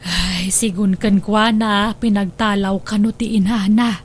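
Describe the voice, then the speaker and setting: A woman's voice: medium pitch, steady tone, measured pace, soft background music, close to an everyday voice. A woman playing a fretful, motherly mother of a grown son in a radio drama. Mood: worried, resigned. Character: young man's fretful, motherly mother in household.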